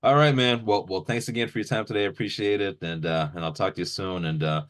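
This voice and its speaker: A man's voice: lowish voice, fairly even intonation, quick pace. A man hosting a casual interview, informal and appreciative in how he talks to his guest. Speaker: interviewer and video channel host.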